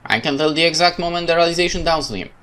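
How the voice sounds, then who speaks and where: Man reading dark game narration. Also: a little high, very fast, slight background noise. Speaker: let's play host narrating a visual novel. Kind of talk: dramatic reading of first-person narration.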